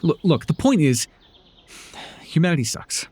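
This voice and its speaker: A man playing a proud, sardonic telepathic supervillain with hidden tenderness in an ASMR roleplay, theatrical and condescending with a husky voice. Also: fairly high pitch, big pitch swings, a little slow, darkish tone, full character voice. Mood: indignant, grandiose. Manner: theatrical, grandiose, flustered, dryly condescending.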